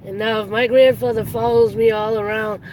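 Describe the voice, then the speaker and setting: A raspy-voiced live streamer with heavy breathing, rambling casually while driving a car. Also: medium pitch, level tone, normal speed, darkish tone, low-quality recording. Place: inside a moving car. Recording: road and car noise.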